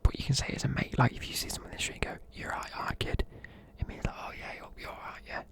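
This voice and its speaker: A man, whispering softly in a chatty, rambling way while explaining words. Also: rapid-fire. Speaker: ASMR creator. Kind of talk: whispered ASMR explaining slang.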